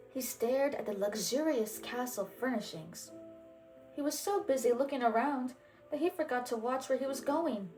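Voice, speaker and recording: High-voiced woman reading a storybook. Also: rough recording. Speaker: storybook reader. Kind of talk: children's read-aloud narration.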